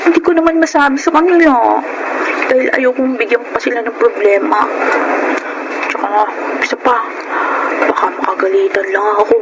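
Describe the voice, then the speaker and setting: High-pitched wheedling Filipina student played by an actress. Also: female voice, moderate pace, lightly acted. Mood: downcast, plaintive. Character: wheedling female college student, freeloading friend. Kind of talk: Filipino radio drama acting. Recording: noisy radio drama audio.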